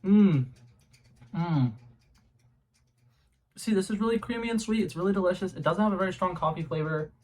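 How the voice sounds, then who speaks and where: A man's voice: high-pitched, moderate pace, long pauses, darkish tone, slight background noise. A young man from New England hosting a casual taste-test vlog at home, chatty and rambling with lots of ums and restarts. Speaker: YouTube host. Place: home room with a color-changing globe light.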